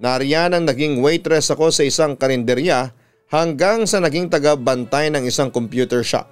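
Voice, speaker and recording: A Filipino man narrating a listener's letter in the first person like a radio storyteller, in measured, deliberate phrases. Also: on the high side, clean tone. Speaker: radio announcer and storytelling host. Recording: music playing behind the voice. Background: instrumental music.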